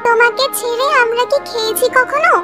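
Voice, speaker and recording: A woman's voice: very high-pitched, steady tone, brisk. A woman voicing a caring, dutiful young village girl in a cartoon, with a childlike, earnest manner. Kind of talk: Bengali cartoon voice acting. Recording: loud music behind the voice. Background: music.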